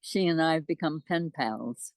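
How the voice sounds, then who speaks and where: Halting woman in her nineties, dry sound. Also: deep voice, no room sound. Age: 90s. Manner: halting, good-humored, chuckling. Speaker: interview guest, amateur postcard poet. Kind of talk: casual chat.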